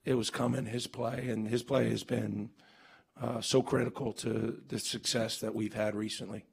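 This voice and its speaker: A man's voice: medium pitch, flat delivery, normal speed, creaky, a little mellow, husky. A man in his forties, a basketball coach, answering reporters' questions after a game in earnest, emphatic bursts full of restarts and fillers, seated at a table mic in a press room. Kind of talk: postgame press conference. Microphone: table mic on stand.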